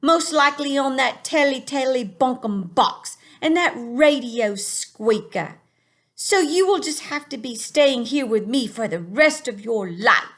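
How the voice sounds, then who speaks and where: A woman's voice: lively intonation, measured pace, fairly bright tone. A woman reading a storybook aloud and voicing a kindly male giant with a funny put-on English accent and jumbled grammar. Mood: insistent. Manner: booming, mangled grammar. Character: huge kindly male giant, garbled words.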